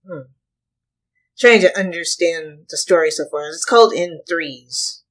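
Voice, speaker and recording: A reaction host with a husky voice, commenting casually and thinking aloud in short, halting pieces. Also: mid-range voice, a bit bright.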